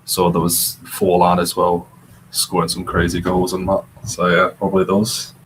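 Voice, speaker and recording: A man's voice: deep voice, monotone, normal speed, fairly bright tone, slight background noise, rough recording. A young man from Scotland, a podcast guest, chatting casually and rambling with fillers. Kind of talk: casual chat.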